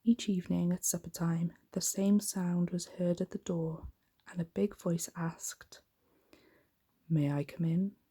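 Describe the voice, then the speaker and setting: A woman reads a bedtime story in soft-spoken ASMR style. Her voice is low, mellow and breathy, often slipping toward a whisper. The delivery is gentle and unhurried. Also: little pitch movement.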